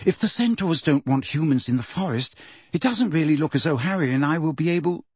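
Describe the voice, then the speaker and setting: An anxious young schoolgirl, clever and fretful, as a man puts her on in a raised, high-pitched, fearful storybook voice. The tone is a little husky and the recording dry. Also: animated, fairly quick, a little mellow, no echo.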